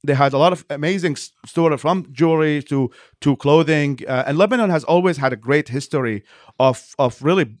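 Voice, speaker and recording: Fast-talking man from Iraq, interview guest. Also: a little high, no echo, studio quality. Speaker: interview guest, nonprofit leader. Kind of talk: interview answers, explanatory.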